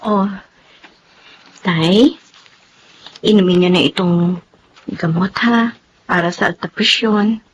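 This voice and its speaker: A woman playing a dutiful, patient young Filipina nurse in a radio drama, gently pleading with her father. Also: fairly low pitch, takes it slowly, pauses often, a little hiss or hum, rough recording. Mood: caring, hurt.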